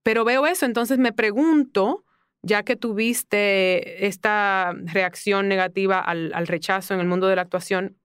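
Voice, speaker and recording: Earnest woman with a clear voice. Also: medium pitch, unhurried, dry recording, clean studio sound. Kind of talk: casual podcast conversation.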